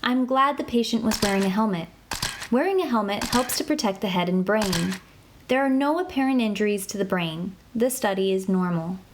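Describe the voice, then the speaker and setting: A woman reads scripted instructions for a children's medical app in an even, measured voice. Her pitch sits in a middle range. A steady background noise runs under the recording. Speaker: narrator of an interactive medical app for kids.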